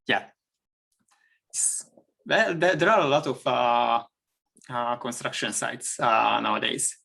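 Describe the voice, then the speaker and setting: A man's voice: mid-range voice, takes it slowly, gravelly, sharp tone. A man with a Hungarian accent, a native Hungarian speaker, chatting as a guest on a video call, talking hesitantly with many fillers and restarts. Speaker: guest engineer. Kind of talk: casual chat.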